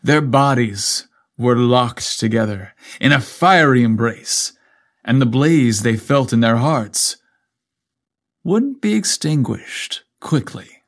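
A man's voice: mid-range voice, lively intonation, slow pace. A man narrating a scripted story as a podcast host, with a playful, cheeky manner.

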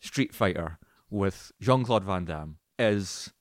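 Wry, lower-pitched man in dry sound. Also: animated, slow, no echo. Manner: wry, joking, teasing. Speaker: podcast co-host. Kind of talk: casual chat, film and games banter.

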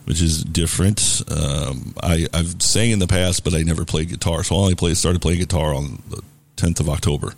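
A man with a very low, deep, booming voice hosts a live radio show. He chats loosely and casually, with plenty of ums and restarts. The tone is relaxed and unhurried. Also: vocal fry. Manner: relaxed, rambling with many fillers. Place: bar and restaurant.

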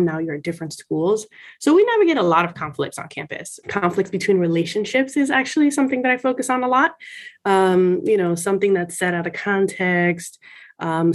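A woman from Miami speaking in a conversational interview, explaining things from experience in an articulate, emphatic, self-assured way. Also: on the low side. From Miami, United States. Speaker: senior college administrator being interviewed.

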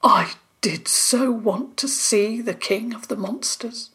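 A woman voicing a very old spiky forest creature in a storybook, with a hoarse, whispery voice. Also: a little high, slow, a bit bright, pitched above the performer's own voice, heavily acted. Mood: sad.

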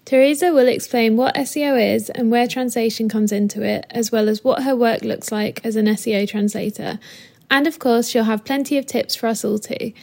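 A woman's voice: fairly high pitch, vocal fry, darkish tone. A woman hosting a podcast interview, friendly and encouraging, prompting her guest with questions. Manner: friendly, encouraging, frequent mm-hmms. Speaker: podcast host.

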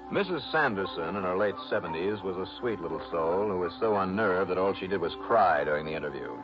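An actor playing a cool, skeptical private investigator with an American accent in an old radio drama. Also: male voice, sits low. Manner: dry, skeptical. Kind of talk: old-time radio drama dialogue and narration. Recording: muffled, noisy vintage radio broadcast.

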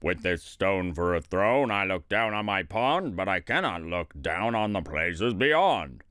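Man putting on a pompous, low-voiced turtle king. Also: sing-song, a bit bright, dry recording, clean studio sound, full character voice. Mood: grumbling, scheming. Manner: pompous, commanding, drawn-out proclamations. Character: power-hungry, boastful, bellowing tyrant turtle king. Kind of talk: children's storybook character voice.

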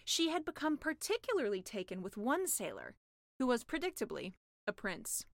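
A woman's voice: on the high side, big pitch swings, normal speed, dry recording. A woman author reading her own comic fairy tale as scripted storybook narration.

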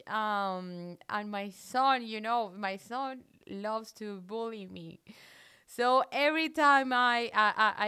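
Colombian woman radio host, thirties, non-native English, unhurried and halting, crisp clear voice. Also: fairly high pitch, sharp tone. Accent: Colombian Spanish. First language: Spanish. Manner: halting, searching for English words. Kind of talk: casual radio chat.

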